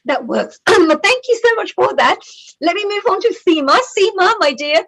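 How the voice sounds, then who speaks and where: A woman hosting an online panel discussion, chatty and warm, often restarting her sentences. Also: very high-pitched, lively intonation, moderate pace. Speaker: host.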